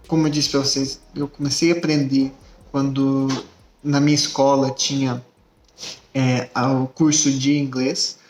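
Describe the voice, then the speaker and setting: A young man, talking casually about himself to the camera and pausing often with fillers. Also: on the high side, a little mellow. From Brazil. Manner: hesitant, many filler "é" and restarts. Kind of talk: casual self-introduction to camera. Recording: echoey room with faint music.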